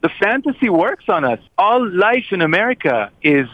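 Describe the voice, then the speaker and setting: Man, higher voice, thoughtful. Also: big pitch swings, moderate pace, dry recording, muffled recording. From Israel. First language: Hebrew. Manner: thoughtful, hesitant with repeated restarts. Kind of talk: casual discussion.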